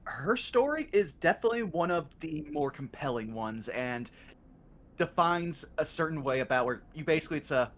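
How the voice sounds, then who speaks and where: A man's voice: on the high side, sing-song, normal speed, a bit bright. Eager man explaining a game's story. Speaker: co-host who has played the game before. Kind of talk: casual chat about a game story.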